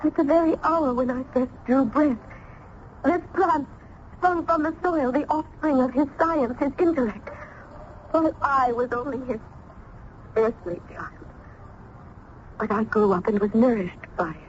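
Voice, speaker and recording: A lonely, anguished young daughter in a vintage radio play. Her high voice is earnest and pleading, with melodramatic sorrow. The recording is slightly dull with faint noise. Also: unhurried, pauses often. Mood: mournful. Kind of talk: old-time radio drama acting.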